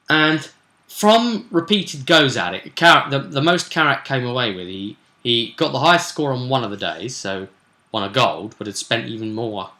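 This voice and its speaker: A man's voice: lowish voice, pushed and bright. A man with a British accent giving a casual recap as a game master, explaining in a rambling, colloquial, stop-start way. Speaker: game master recounting sessions. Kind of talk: casual session recap vlog.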